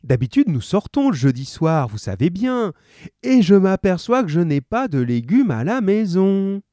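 A man voicing a cheerful, eager housewife in a read-aloud story, in a raised, sweet falsetto. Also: big pitch swings, normal speed, mellow, no echo, studio quality.

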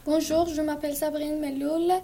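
A woman from Algeria, a native Arabic speaker, giving a halting school presentation in learner French. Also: sits high, flat delivery, very noisy.

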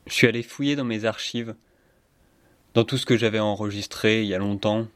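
Male radio host, lowish voice, dry close sound, laid-back delivery. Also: steady tone, fairly quick, a bit bright, no echo. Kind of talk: casual radio presenting.

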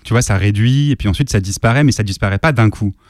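A male radio show host interviewing a guest in a relaxed, conversational manner. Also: on the low side, fast, dry recording, studio quality.

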